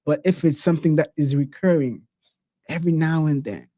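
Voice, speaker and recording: A man hosting a podcast, chatting casually in a relaxed, slangy way and often saying "bro". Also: on the high side, a little fast, dry recording, studio quality. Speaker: podcast host.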